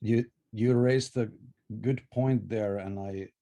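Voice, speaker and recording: He is a man, his voice low and warm. He talks in a thoughtful, scholarly way over a video call, circling back to restart words as he explains their roots. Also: fairly even intonation, slow. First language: Finnish. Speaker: presenter answering questions. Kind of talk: academic discussion.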